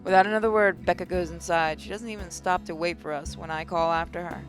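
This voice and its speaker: Female gaming host, slightly low register, expressive first-person story narration over faint guitar music. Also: fast. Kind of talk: reading visual novel narration aloud.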